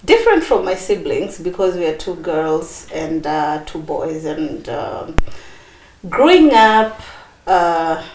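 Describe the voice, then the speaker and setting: A woman's voice: fairly low pitch, sing-song, slow, echoey room, rough recording. A woman in her thirties with a Kenyan accent, telling her personal story as an interview guest in a bubbly, chatty way. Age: 30s. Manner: bubbly, chatty, with many fillers and laughs.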